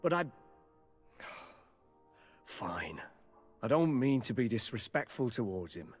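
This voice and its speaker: An actor playing a deferential, uneasy palace bodyguard in a video game, speaking haltingly and respectfully. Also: male voice, mid-range voice, very animated, faint music behind. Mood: uneasy, apologetic. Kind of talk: dramatic video game cutscene acting.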